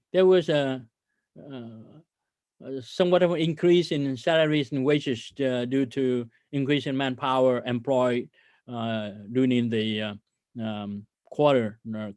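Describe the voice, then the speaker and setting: Halting male company executive, dry close sound. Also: medium pitch, darkish tone, dry recording. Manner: halting, hesitant delivery with frequent stammered hesitations. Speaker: company CEO hosting an investor conference call. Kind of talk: corporate earnings call presentation.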